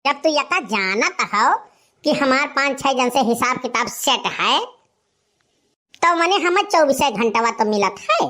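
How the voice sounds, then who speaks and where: A woman's voice: high-pitched, pushed and bright, heavily acted. A woman playing a sassy, impatient young village woman in a cartoon comedy, scolding brazenly with an Awadhi accent. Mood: brazen, explaining. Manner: scolding, brazen, repetitive catchphrases. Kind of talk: animated comedy dialogue.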